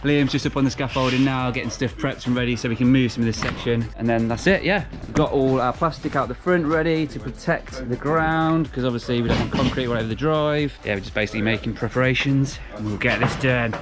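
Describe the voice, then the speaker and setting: A builder narrates his own site in an upbeat, step-by-step way. His voice sits at a middling pitch and an everyday pace. A clear music bed plays beneath him. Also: fairly quick, few pauses. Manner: upbeat, explains work step by step. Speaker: builder presenting a build vlog. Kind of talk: casual on-site commentary.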